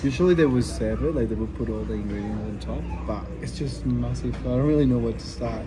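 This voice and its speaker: A man's voice: medium pitch, brisk, background noise. A man from Colombia chatting casually in a travel vlog, explaining dishes and places. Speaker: vlogger.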